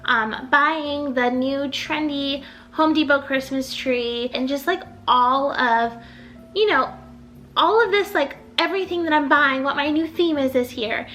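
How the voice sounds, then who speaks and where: Young woman decor vlogger, high bright voice, talkative and rambling, slightly off from a recent illness, soft music behind. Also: a little slow. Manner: chatty, rambling, upbeat, lots of "like". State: recovering from illness, voice a little off. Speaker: home decor YouTuber. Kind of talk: casual chatty vlog.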